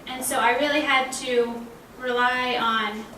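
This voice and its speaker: Young woman, panelist, conversational storyteller, higher-pitched, roomy recording with faint noise. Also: fairly even intonation, measured pace, pushed and bright. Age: 20s. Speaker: panelist, language graduate. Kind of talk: conversational panel talk with personal anecdotes. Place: college panel event room. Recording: roomy, distant mic, faint background noise.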